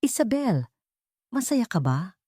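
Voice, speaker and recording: A woman storyteller plays a sorrowful former husband in an audio story. He is restrained and full of regret. The pitch moves widely and the sound is close and dry. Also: very animated, slow, dark tone, husky, no echo, heavily acted. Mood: tearful.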